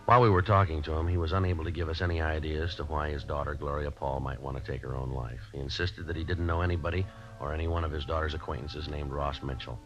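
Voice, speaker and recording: A very deep-voiced police detective talks briskly and without emotion. He is polite but all business, with clipped, factual delivery in the manner of a 1950s radio crime drama, on a muffled old recording. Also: faint music behind. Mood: flat, factual. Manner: clipped, deadpan, matter-of-fact. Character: businesslike, polite male police detective narrating his case.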